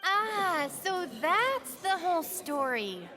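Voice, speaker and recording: Casting: haughty, theatrical young noblewoman, easily flustered, bright and very high, video game, in a long hall reverb. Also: very animated, takes it slowly, loud music behind the voice, full character voice. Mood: dramatic. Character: proud, dramatic, easily flustered young lady of rank.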